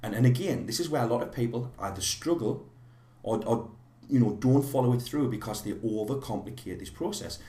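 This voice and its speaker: A man with a slightly hoarse voice from a cold, teaching a live online course in a rambling way with many fillers and restarts. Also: medium pitch, animated, a little fast, warm tone, roomy sound.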